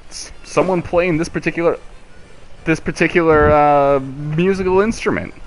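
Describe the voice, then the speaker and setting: A man co-hosting a casual video game chat, explaining things in a halting, rambling, self-correcting way. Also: a little high, steady tone, slight background noise, poor audio. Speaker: podcast co-host.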